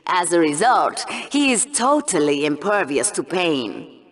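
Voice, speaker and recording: Lively, sardonic female co-anchor. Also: lowish voice, measured pace. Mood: mocking. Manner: mocking, sweetly sadistic. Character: sultry, sardonic female co-anchor with a cruel streak. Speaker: co-host commentator. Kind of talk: sports commentary in a video game.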